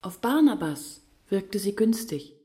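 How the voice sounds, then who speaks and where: An actress playing a grave woman recalling her family's hopeless years in a measured radio drama monologue. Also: medium pitch, big pitch swings, dark tone.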